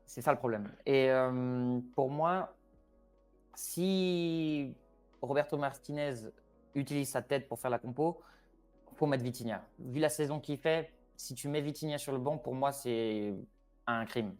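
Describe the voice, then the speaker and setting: Man, mid-pitched, casual football talk, hesitant restarts, faint music behind. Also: unhurried, smooth. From Portugal (Porto roots). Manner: hesitant, many fillers and restarts, animated. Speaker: expert guest on Portugal.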